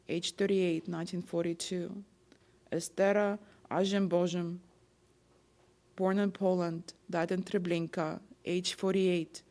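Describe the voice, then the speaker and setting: Slow, even-voiced woman reading names. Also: on the low side. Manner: slow, measured, even recitation with occasional stumbles on names. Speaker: name reader at a remembrance ceremony. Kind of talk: solemn reading of a list of names.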